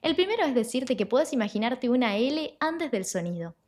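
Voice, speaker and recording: A woman giving an online lesson as an Italian teacher, explaining sounds clearly and slowing down to model them. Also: fairly high pitch, sing-song, darkish tone.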